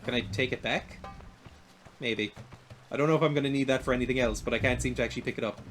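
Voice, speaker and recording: A young man in his twenties with a light, naturally high voice, rambling and laughing at himself while he streams a video game. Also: fairly even intonation, fast talker, fairly bright tone, soft background music. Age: 20s. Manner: rambling and self-deprecating, laughs often. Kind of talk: casual chat while playing a video game.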